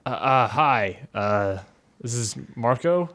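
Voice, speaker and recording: A man playing Marco, an awkward, gently consoling friend in a tabletop game, speaking in a halting, tentative way. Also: mid-range voice, expressive.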